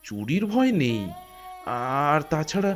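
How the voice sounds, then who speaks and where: A man voicing a poor, honest, god-fearing village husband in a Bengali animated folk tale, with a West Bengal accent and a hesitant, stammering, earnest delivery. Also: a little high, measured pace, faint music behind. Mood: reassuring. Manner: hesitant, stammering, gently reassuring. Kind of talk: animated Bengali folk ghost story dialogue.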